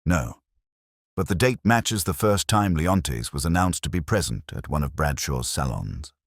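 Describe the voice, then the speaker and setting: A man's voice: a bass voice, very animated, a little slow, dry recording, studio quality, heavily acted. A man voicing a businesslike police investigator in a mystery story, reporting in a matter-of-fact way.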